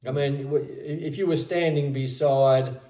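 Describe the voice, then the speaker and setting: A man's voice: a little high, fairly even intonation, a little slow, warm tone. A man tutoring English in a casual chat, patient, often repeating and restarting his words. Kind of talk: casual one-on-one language lesson. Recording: roomy, distant from the mic.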